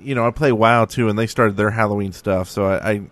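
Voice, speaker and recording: A man's voice: on the low side, fairly even intonation, fairly quick, fairly bright tone. A man hosting a gaming podcast in casual, wry, rambling banter. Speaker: podcast host.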